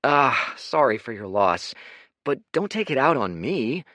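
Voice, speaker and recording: Guy playing a cheeky smooth-talking young rogue, breezy and wry, voice pitched up. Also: very animated, unhurried, bright, forceful tone, no room sound, studio quality. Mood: flippant. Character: glib, flippant young man, quick-talking.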